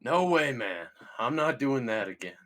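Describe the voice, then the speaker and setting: A man's voice: medium pitch, big pitch swings, measured pace, fairly bright tone. Slangy young stoner partygoer played by a man. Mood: pleading. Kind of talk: table read of comedy film script.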